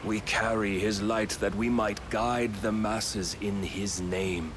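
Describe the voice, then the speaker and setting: An actor playing a grave, menacing godlike servant in a fantasy game, speaking in solemn, pompous, archaic phrases. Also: male voice, on the low side, steady tone, slow, background noise. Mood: solemn, preaching. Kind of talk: dramatic fantasy game cutscene acting.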